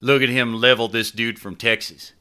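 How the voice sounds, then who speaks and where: A man's voice: mid-range voice, fairly even intonation, normal speed, sharp tone, clean tone, pitched above the performer's own voice, lightly acted. A man voicing a big, downcast young ex-player who talks offhandedly in an audiobook. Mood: distracted. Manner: casual, offhand, slangy.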